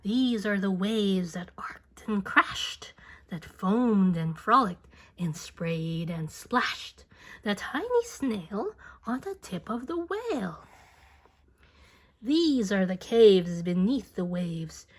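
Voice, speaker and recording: Woman, storybook narrator, slow pace, lively pitch, singsong rhyming delivery, drawn-out words. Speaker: children's book reader.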